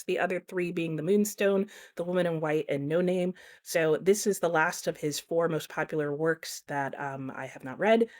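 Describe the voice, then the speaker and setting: A middle-aged woman giving a casual book review in a reflective, rambling way, often correcting herself. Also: lowish voice.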